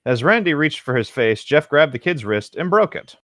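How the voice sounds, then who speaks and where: A man's voice: a little high, very animated, moderate pace, a bit bright, dry recording, clean studio sound. A man co-hosting a comedy podcast, reading a story aloud and adding sardonic, casual commentary. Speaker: podcast co-host reading horror fiction aloud.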